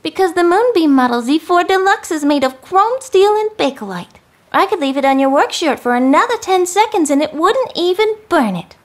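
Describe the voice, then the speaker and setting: An actress playing a sassy young 1950s housewife in an arch, theatrical radio drama style. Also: female voice, very high voice, animated, normal speed. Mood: salesy, perky.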